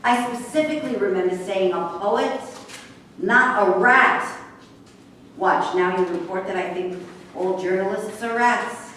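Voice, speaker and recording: A woman in a staged play reading, acting a bitter, sharp-tongued racehorse trainer who is hurt, sarcastic and confrontational. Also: medium pitch, a little slow, close to an everyday voice. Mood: guarded, sarcastic. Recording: very echoey room, distant mic, faint noise.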